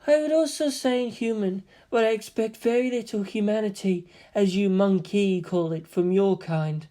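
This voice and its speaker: Guy doing a snooty alien seer, slow n condescending, audiobook. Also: medium pitch, warm tone, close to an everyday voice. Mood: disdainful. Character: haughty, disdainful alien seer.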